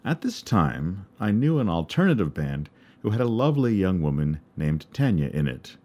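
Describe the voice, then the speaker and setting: Low-voiced man, wry narration. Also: very animated, normal speed, warm tone. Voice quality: baritone voice. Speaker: podcast narrator and musician. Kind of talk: scripted personal narration.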